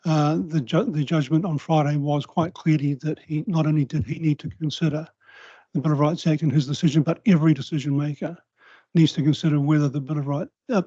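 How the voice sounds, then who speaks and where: A New Zealand man speaking at a council public forum, giving a measured, precise legal briefing in a quiet voice. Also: a little high, no echo. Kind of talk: formal legal presentation. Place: council chamber. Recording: slightly dull, dry council meeting recording.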